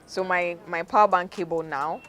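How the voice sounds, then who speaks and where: A young woman with a Ghanaian accent, hosting a podcast and telling a personal story in a relaxed way, laughing often as she goes. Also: on the low side, moderate pace, a little hiss or hum. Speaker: podcast host. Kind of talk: casual storytelling.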